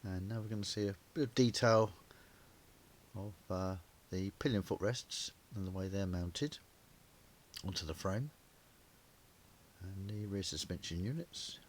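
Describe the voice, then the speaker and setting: An older man with a croaky, sore-throated voice, talking through a motorcycle video at a measured pace from his garage. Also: deep voice, slight background noise. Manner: measured, gentle, with frequent pauses and fillers. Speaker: motorcycle vlogger. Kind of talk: casual narrated walkaround. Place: indoor garage.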